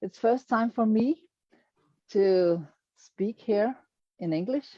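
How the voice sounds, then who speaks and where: Danish woman, slow and hesitant. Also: mid-range voice, dry recording, lo-fi sound. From Denmark. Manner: hesitant, searching for English words, self-deprecating humor. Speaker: quilter presenting her work. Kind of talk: presentation to an online audience.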